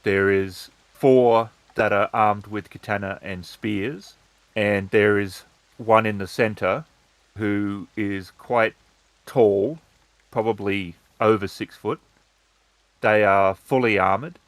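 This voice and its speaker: A man narrating a tabletop roleplaying game as game master, in a halting, measured way. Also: lowish voice, fairly even intonation, no room sound.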